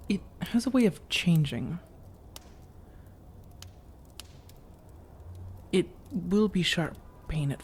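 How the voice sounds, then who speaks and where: Woman acting as a coaxing female vampire, dark mellow tone, soft and breathy, teasing, intimate ASMR roleplay, some background noise. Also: lowish voice. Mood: calm, reassuring. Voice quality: soft, breathy delivery slipping into whispers. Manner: teasing, measured, intimate, with deliberate pauses. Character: flirtatious, coaxing female vampire seeking a roommate. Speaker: audio roleplay performer.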